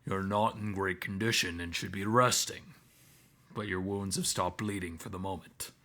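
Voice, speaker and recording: Guy doing a calm blunt medic character, lowish voice, crisp and forceful, visual novel. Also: normal speed. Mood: matter-of-fact. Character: calm, blunt male anthro medic, a biochemistry student.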